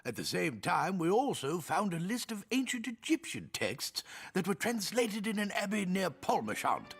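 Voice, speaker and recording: A prim museum curator. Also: male voice, high-pitched, very animated, normal speed, a bit bright, breathy edge, heavily acted. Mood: formal. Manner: formal, prim. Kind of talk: animated series acting.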